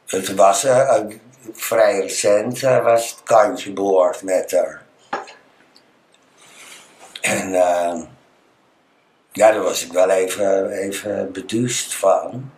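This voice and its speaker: A Dutch man talking as an interview guest, telling personal stories conversationally and halting as he searches for words. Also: low-pitched, steady tone, darkish tone. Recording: echoey, reverberant room.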